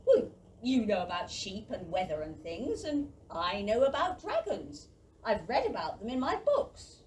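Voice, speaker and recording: A woman's voice: mid-range voice, wildly swooping pitch, a little slow, darkish tone, recorded from a distance, a little hiss or hum. A woman playing a bookish, confident young farm boy in a children's audio play. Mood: calm, confident.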